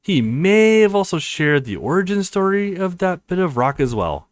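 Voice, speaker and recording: A man reading visual novel dialogue as a wry, caring adult brother who gently teases his sister. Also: high-pitched, sing-song, normal speed, no echo, voice pushed up. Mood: earnest.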